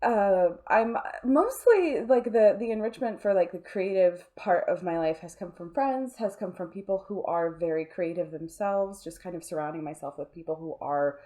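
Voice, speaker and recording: A woman's voice: on the low side, animated, moderate pace, few pauses, warm tone. A woman talking casually as an interview guest, informal and rambling, with a self-deprecating way about her. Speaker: interview guest, audio drama producer.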